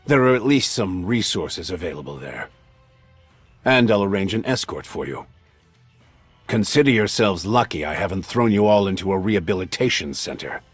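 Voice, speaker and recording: A man playing a stern, domineering older military commander in a dramatic video game, gruff, scornful and commanding. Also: sits low, animated, moderate pace, no room sound, faint music behind. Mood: cold, scornful anger.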